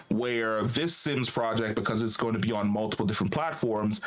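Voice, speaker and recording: A man runs through the day's gaming news in a loose, conversational way. He swears without a second thought and stumbles over repeated words as he thinks aloud. His voice sits at an ordinary middle pitch for a man. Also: a bit bright. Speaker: gaming news show host. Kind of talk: casual gaming news commentary.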